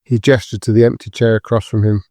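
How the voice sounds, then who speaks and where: A man's voice: fairly low pitch, flat delivery, quick pace, warm tone, dry recording. A man reading scripted narration for an audio drama.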